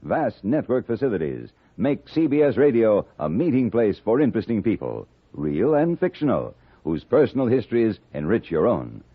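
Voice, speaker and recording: On a dry, dull old radio recording, an American man announces a scripted network promo. His voice is lowish and husky, with a lively, swooping delivery. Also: moderate pace, few pauses, no echo.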